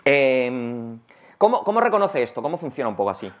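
A man from Madrid with a Madrid accent giving a casual technical talk on stage, talking rapidly with many restarts and fillers. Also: mid-range voice, sing-song. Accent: Madrid Spanish. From Madrid, Spain. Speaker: conference speaker. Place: large conference hall, on stage. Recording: dull lo-fi conference recording.

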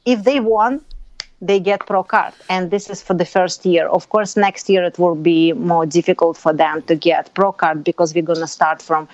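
A woman's voice: on the low side, steady tone, normal speed, a little mellow, dry recording. Woman, 30s, Russian accent, eager and frank, earbud mic on a video call, dry close sound. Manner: eager, frank, slightly broken English. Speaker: guest. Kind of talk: casual interview over video call. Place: indoor room at home. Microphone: earbud headset mic on a video call. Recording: dry, slightly dull call audio.

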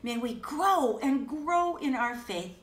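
An older woman giving a children's message, gently and expressively telling a picture-book Bible story in a living room. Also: high voice. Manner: slow, gentle and expressive, like reading aloud to children. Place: living room, seated in an armchair. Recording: echoey room, distant mic.

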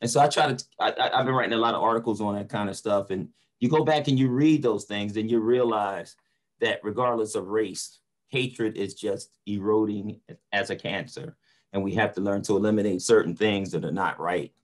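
An older man with a fairly low voice speaks on a video call. He talks earnestly and inspirationally, in the way of a motivational speaker. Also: normal speed. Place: indoor room on a video call.

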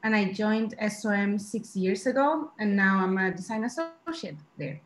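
A woman's voice: medium pitch, lively intonation, normal speed, reverberant. A woman originally from Spain introducing herself plainly as a panelist on a video call. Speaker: panelist, designer. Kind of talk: casual panel talk.

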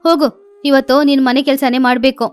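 Woman playing cartoon mother sparrow, high-pitched, bossy, nagging, scolding, music behind. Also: flat delivery, brisk, close to an everyday voice.

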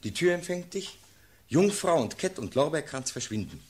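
An actor playing an earnest, steadfast officer addressing his sovereign in measured, declamatory verse in a classical radio play. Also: fairly high pitch, sing-song, slightly rough. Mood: earnest narrating.

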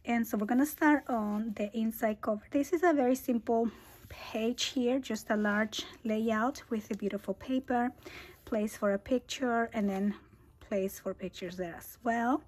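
A woman hosting a scrapbooking tutorial, hoarse and half-whispering as she loses her voice, chatty with small laughs as she walks through her album. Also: a little high, measured pace, a little mellow. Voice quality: husky. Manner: casual, chatty, laughs between phrases. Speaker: scrapbooking channel host. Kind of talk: craft tutorial walkthrough. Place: indoors at a craft table.

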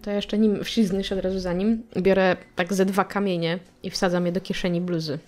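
A woman playing a tabletop role-playing game, describing her character's actions in a brisk, decisive way. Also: medium pitch, fairly even intonation, darkish tone. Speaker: player.

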